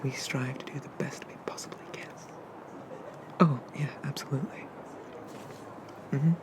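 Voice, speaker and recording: A performer playing a friendly, bashful monster barista in a soft, hesitant, gently chatty whispered ASMR roleplay. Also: mid-range voice, steady tone, normal speed, close to an everyday voice. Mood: kind, helpful. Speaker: coffee shop barista.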